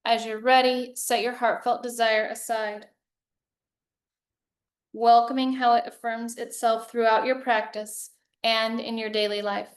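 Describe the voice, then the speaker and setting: Slow, even-toned woman guiding meditation. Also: a little high. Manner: calm, measured, soothing. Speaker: yoga nidra meditation teacher. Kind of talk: guided meditation, slow instructions with long pauses.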